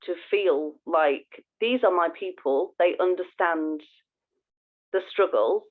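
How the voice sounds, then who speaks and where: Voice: medium pitch, slow, phone-quality sound. Effusive podcast co-host on thin call audio. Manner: effusive, thinking aloud. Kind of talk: casual podcast chat.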